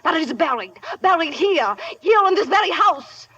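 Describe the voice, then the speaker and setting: High-voiced melodramatic desperate heiress. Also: female voice, expressive, moderate pace, pushed and bright, dull audio, full character voice. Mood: intense, possessive. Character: melodramatic, desperate heiress, fearful and fiercely possessive. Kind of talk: 1940s radio comedy-mystery acting. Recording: noisy old radio broadcast.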